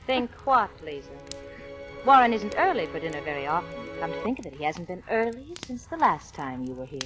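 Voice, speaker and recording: Lower-voiced venomous wife, vintage radio. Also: female voice, sing-song, brisk, over music. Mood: arch. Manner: arch, sugary, needling. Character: jealous, goading wife, sweetly venomous and possessive. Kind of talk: 1940s radio drama acting.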